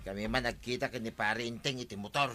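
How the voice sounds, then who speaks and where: An actor playing a cocky, evasive village husband with an Ilocano accent in a radio comedy drama. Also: male voice, medium pitch, fast, music in the background, close to an everyday voice. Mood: evasive, boastful. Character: cocky, evasive village husband who dodges farm work.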